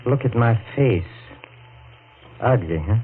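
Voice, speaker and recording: Pleading murder defendant, a self-pitying comedian, mid-pitched, halting, radio drama, phone-like sound with faint music. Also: male voice, expressive. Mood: grave pleading. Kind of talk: 1940s radio drama acting.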